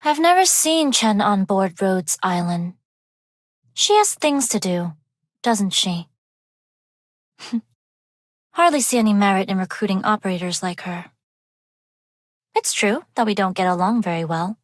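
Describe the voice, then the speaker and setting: Game role: refined young female underworld heiress played by an actress, composed, formal, quietly dangerous; mid-range pitch, close dry sound. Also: expressive, a little slow, long pauses, no room sound, close to an everyday voice. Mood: reserved, reflective. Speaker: video game voice actor. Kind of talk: scripted game character voice lines.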